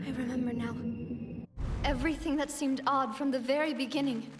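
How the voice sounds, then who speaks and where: Fragile young noblewoman, played by an actress, very high voice, halting, emotional game drama, clear music behind. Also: steady tone, lightly acted. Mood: grieving. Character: bewildered, vulnerable young noblewoman on trial. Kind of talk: dramatic video game voice acting.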